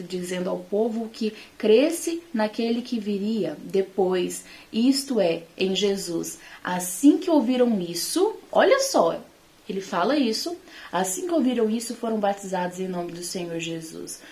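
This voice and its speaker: A woman's voice: medium pitch, a little mellow. A Brazilian woman teaching the Bible informally to online followers, conversational and emphatic in her delivery.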